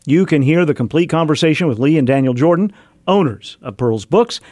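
A man's voice: on the high side, lively intonation, a little fast, darkish tone, no echo, studio quality. A man hosting a radio show, delivering scripted narration. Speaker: radio host and reporter.

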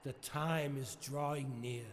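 Male actor as Christmas spirit, very slow weighty speech, faint background noise. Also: medium pitch, steady tone, natural voice. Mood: grave. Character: Ghost of Christmas Present, dying grave spirit.

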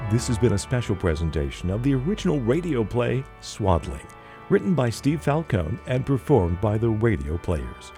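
A man's voice: medium pitch, sing-song, moderate pace, warm tone. A man reading scripted closing credits as a radio station announcer. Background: faint music.

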